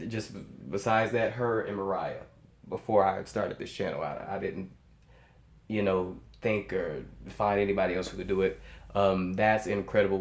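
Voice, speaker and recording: Echoey, low-voiced American man reacting. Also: darkish tone. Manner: emphatic, repeats phrases in amazement; halting, searching for words. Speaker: music reaction channel host. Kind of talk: casual off-the-cuff reaction commentary. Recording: echoey, roomy sound.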